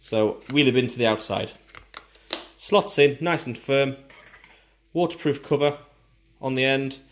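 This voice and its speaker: A man's voice: medium pitch, unhurried, slight background noise. A British man informally explaining and showing items in a casual unboxing review. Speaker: product reviewer.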